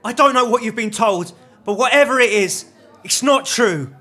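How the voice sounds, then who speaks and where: Man acting a high, strained, aggrieved employee. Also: moderate pace, heavily acted. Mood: pleading. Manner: strained, loud pleading. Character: desperate, aggrieved male shop employee.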